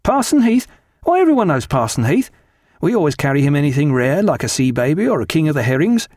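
A man's voice: fairly high pitch, very animated, fast, a little mellow, no room sound, studio quality, voice pushed up. A man voicing a friendly, chatty young ship's mate with an eager, colloquial manner in an audiobook sea story.

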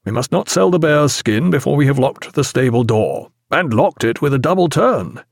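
A man playing a genial, steady sea captain in an audiobook, with hearty, reassuring authority. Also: mid-range voice, moderate pace, gravelly, no echo, studio quality. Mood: cautioning.

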